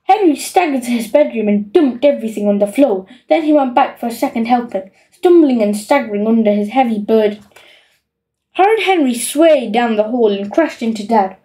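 A woman reading a children's audiobook as an expressive storyteller. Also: fairly high pitch, moderate pace, low-quality recording. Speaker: audiobook reader.

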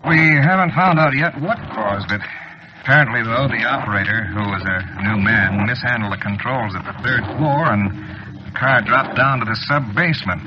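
An actor playing a matter-of-fact official with a formal, measured delivery in a 1940s radio drama. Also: male voice, medium pitch, lightly acted. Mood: sober. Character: matter-of-fact male official explaining an accident. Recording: dull, noisy old radio recording.

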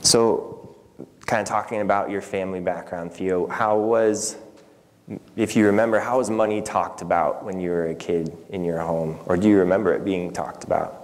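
A man's voice: low voice, fairly even intonation, normal speed. A man in his thirties hosting a conversational interview as pastor in a reverberant church. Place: indoor church sanctuary. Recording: reverberant hall.

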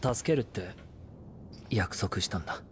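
A man's voice: on the low side, animated, unhurried, a little hiss or hum. A male voice actor playing an aloof young mercenary in a video game, terse and understated. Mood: quiet, reserved. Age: young adult. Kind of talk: Japanese video game voice acting. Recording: music behind the voice.

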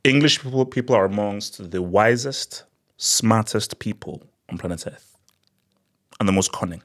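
A man in his thirties with a Nigerian accent, giving sarcastic, animated political commentary into a desk microphone in a small studio. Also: on the low side, moderate pace. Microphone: desk mic on boom arm.

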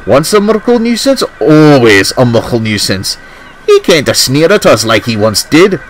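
A man's voice: mid-range voice, very animated, fairly quick, a little hiss or hum, close to an everyday voice. A man voicing a blustering, forthright Scottish railway engine in a children's storybook. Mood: seething. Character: indignant Scottish male twin tank engines.

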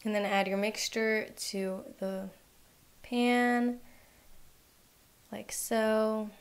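A young woman hosting a home cooking channel, walking through a recipe in a relaxed way with lots of "um" and "like". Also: a little high, extremely slow. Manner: relaxed, filler-heavy instructions with frequent "um" and "like". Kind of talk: casual recipe voice-over.

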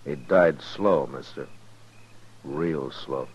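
A man's voice: a bass voice, slow pace, slightly rough, heavily acted. An American man playing a hard, unflinching Old West marshal, terse and commanding, in 1950s radio western style. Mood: grim. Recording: muffled 1950s radio recording.

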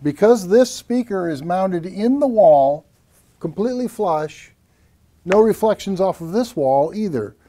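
An older man co-presenting a technical explanation in a measured, didactic way, repeating key phrases. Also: sits high, lively intonation, mellow. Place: small acoustically treated home theater room.

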